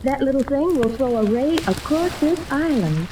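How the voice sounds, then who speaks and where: A distant, noisy vintage radio recording carries an anxious captive girl. Her high voice is earnest and cautioning, with careful formal phrasing. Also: expressive, normal speed. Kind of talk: 1930s radio serial acting.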